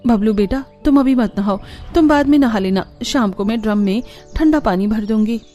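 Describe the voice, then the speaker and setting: A woman's voice: fairly high pitch, normal speed, close to an everyday voice. A woman voicing a motherly, bossy elder daughter-in-law in a cartoon family, coaxing and scolding. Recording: music behind the voice.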